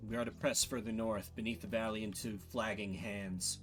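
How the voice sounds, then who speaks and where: A man's voice: lowish voice, steady tone, moderate pace, over music, pitched below the performer's own voice, close to an everyday voice. A man voicing a grave, courtly game exile who speaks formally and solemnly. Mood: grim foreboding. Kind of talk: video game character voice.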